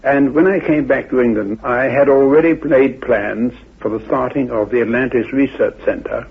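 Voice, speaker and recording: A man's voice: mid-range voice, normal speed, husky. A man in his eighties, an elderly scholar answering in an interview and recounting his life. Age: 80s. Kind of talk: interview, telling life story. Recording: narrow muffled old radio recording.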